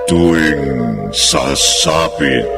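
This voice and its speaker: A man playing a sinister, solemn storyteller, narrating a horror radio drama in an ominous, theatrical, drawn-out way. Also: extremely low pitch, fairly even intonation, full character voice. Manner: slow, drawn-out, ominous delivery. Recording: cavernous echo and long reverb, music behind.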